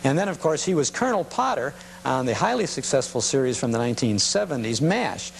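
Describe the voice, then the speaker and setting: A man's voice: mid-range voice, big pitch swings, a little fast. Man hosting casually over hissy, humming tape. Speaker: host of a nostalgia broadcast. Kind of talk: casual unscripted introduction of vintage commercials. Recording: clearly noisy old TV tape with hum.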